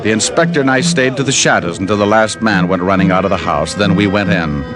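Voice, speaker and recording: An actor playing a wry, hardboiled American private detective, narrating and wisecracking in an old radio drama. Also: male voice, fairly low pitch, fast talker, loud music behind the voice, lightly acted. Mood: tense storytelling. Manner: dry, wisecracking.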